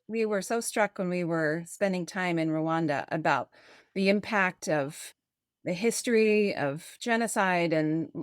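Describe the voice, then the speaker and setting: A woman's voice: on the low side, dry recording, studio quality. A woman hosting a podcast in a conversational interview style. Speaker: podcast host.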